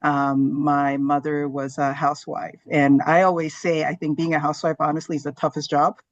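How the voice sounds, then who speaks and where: A woman's voice: low-pitched, flat delivery, normal speed, dry recording, highs cut off. A woman in her forties from Zambia, an earnest and reflective interview guest who talks with many fillers. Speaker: guest, gastroenterologist. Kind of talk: casual interview talk.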